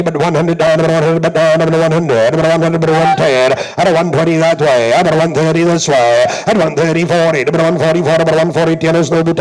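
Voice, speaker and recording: A man's voice: fairly high pitch, rough recording. A South African man working as a livestock auctioneer, with rhythmic, repetitive chant and showy, emphatic sales patter.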